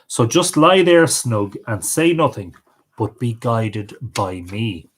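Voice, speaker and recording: A man voicing a shrewd, bossy giant's wife from a folk tale, with a brisk, assured manner. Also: mid-range voice, big pitch swings. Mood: instructing.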